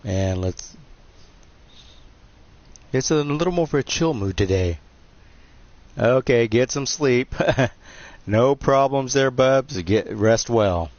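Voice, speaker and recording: A man's voice: medium pitch, animated, slow pace, long pauses, a little mellow, dry recording. A man hosting a live game stream, chatting casually in a nasal, joking, self-deprecating way. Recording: dull lo-fi stream mic with faint hiss.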